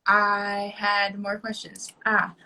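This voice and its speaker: A woman's voice: mid-range voice, completely flat delivery, slow, pushed and bright, a little hiss or hum, poor audio. A young woman hosting a casual interview, chatting in an informal, slangy and effusive way. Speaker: show host interviewer.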